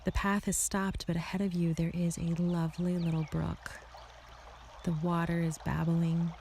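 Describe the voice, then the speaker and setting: A woman narrating a guided meditation in a soothing, unhurried, softly spoken way with a creaky voice, leading the listener through an imagined scene. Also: lowish voice, flat delivery, slight background noise. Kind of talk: guided meditation, second-person scripted visualization.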